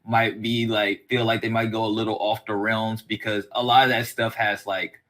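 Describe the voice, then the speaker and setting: A man's voice: lowish voice, level tone, moderate pace, a bit bright. A man hosting a casual podcast chat, talking in a rambling way that circles around his points. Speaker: podcast host.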